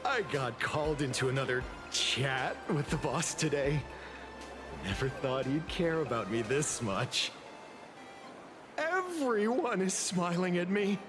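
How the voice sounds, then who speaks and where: A man's voice: on the high side, expressive, unhurried, music in the background. An actor voicing a weary working single father in a video game, wistful behind a forced cheer. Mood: strained cheer.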